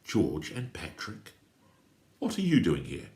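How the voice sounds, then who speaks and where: A defiant storybook uncle, played by a male reader, gruff and growling with indignation. His low voice sounds in a roomy, echoing space. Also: huge pitch swings, slow pace, darkish tone, heavily acted. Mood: indignant.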